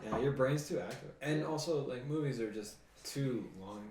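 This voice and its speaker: A man's voice: mid-range voice, moderate pace, a little mellow, distant mic, slight background noise. A thirty-one-year-old man chatting casually as co-host, throwing in dry, teasing questions. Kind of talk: casual chat. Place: shared house, indoors. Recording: echoey room, off-mic.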